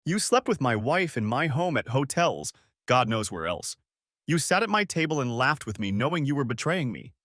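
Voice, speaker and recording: A man's voice: mid-range voice, huge pitch swings, normal speed, fairly bright tone, no room sound, clean studio sound. A man voicing a betrayed husband in a story, cold, cutting and bitterly angry. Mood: snarling rage. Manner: cutting, accusatory.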